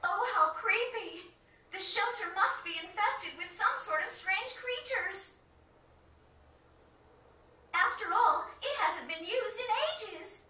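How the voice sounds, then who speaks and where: Extremely high-pitched excitable little girl. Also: slow, long pauses, heavily acted. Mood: spooked. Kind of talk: video game cutscene voice acting. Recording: echoey, slightly distant game audio.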